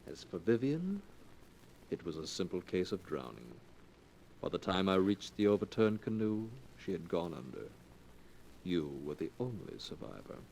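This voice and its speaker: A coaxing young schemer in a 1940s radio drama. His voice sits low and smooth, and he leans close as he persuades. A light hiss lies under the old broadcast. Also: very animated, moderate pace, a little mellow, exaggerated voice, low-quality recording. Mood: scheming. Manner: coaxing, intimate.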